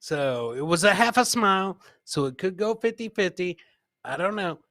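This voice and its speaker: A man's voice: sits high, lively intonation, normal speed, fairly bright tone, no echo, clean studio sound. A man hosting a live comedy show, riffing casually on clips in a rambling, profane, jokey way.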